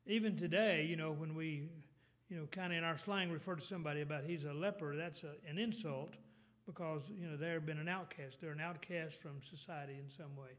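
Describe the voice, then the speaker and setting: A middle-aged man with a Texas accent preaches a sermon in a rambling way, full of restarts and fillers, and clears his throat now and then. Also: fairly high pitch, fairly even intonation, brisk, fairly bright tone. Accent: Texan.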